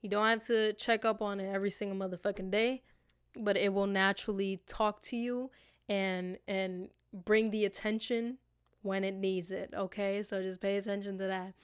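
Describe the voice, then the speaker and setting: A woman's voice: medium pitch, steady tone, moderate pace, clear, resonant tone, dry recording, studio quality. A woman hosting a casual motivational podcast, talking informally and affectionately straight to listeners, with slang and free swearing. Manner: affectionate direct address to listeners. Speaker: podcast host. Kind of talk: casual, motivational self-reflection podcast monologue.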